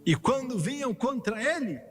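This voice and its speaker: A man preaching an emphatic sermon that builds to fervent, shouted peaks between joking asides. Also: extremely high pitch, animated, moderate pace, slightly rough. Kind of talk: sermon, voiced over in translation. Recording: long hall reverb with faint music underneath.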